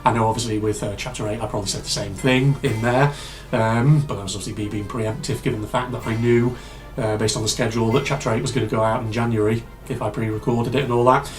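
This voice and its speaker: A man hosting a casual reaction video, rambling and chatty with lots of fillers, his voice slightly hoarse and congested from a cold. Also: medium pitch, steady tone, quick pace, few pauses, faint music behind.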